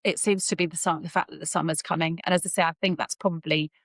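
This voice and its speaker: A woman's voice: sits low, steady tone, fast talker, no room sound, studio quality. A woman chatting casually as a healthcare professional on a mentoring call.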